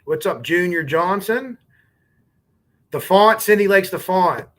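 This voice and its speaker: A man's voice: sits high, expressive, slow pace, a bit bright. A man with a Pittsburgh accent hosting a true crime livestream, casually reading court documents aloud and talking bluntly to his viewers. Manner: blunt, chatty, swears, talks to viewers and chat. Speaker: true crime show host.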